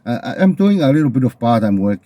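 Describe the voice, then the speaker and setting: A middle-aged man with a Tongan accent speaking in an earnest, halting, reflective unscripted monologue as a video host, often repeating phrases. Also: mid-range voice, very animated, warm tone.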